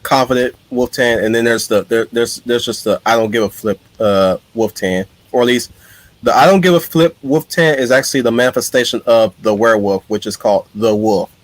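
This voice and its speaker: A man hosting a casual live stream, talking bluntly and tripping over his openings with repeated words. His voice sits at an ordinary pitch. It is recorded close and dry, with no room sound. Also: fairly quick, no room sound, low-quality recording. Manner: blunt, repeats words while starting sentences. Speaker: stream host.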